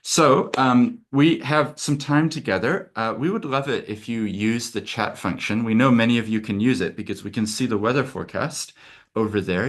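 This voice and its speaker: A middle-aged man from America, a lawyer hosting a webinar over a video call, talking in an earnest, open, conversational way. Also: lowish voice.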